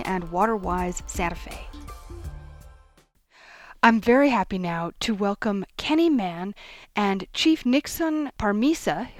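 A woman hosting a radio interview, with a measured, announcer-like delivery. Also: mid-range voice. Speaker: radio host.

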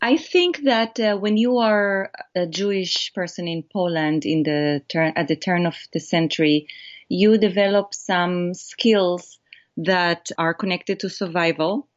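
Israeli woman guest, lowish voice, dull lo-fi sound, animated storyteller. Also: unhurried. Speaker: documentary filmmaker, guest. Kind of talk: interview answers.

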